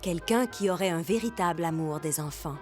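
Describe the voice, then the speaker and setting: A woman narrating an animated fairy tale as a scripted storyteller. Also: fairly low pitch, brisk, faint music behind, close to an everyday voice. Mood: storytelling.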